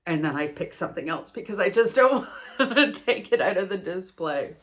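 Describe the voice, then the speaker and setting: A woman's voice: fairly low pitch, expressive, moderate pace, a bit bright. A woman from Canada with a Canadian accent, chatting casually as a host reviewing yarn, rambling and laughing at herself. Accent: Canadian English. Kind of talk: casual chat, product review.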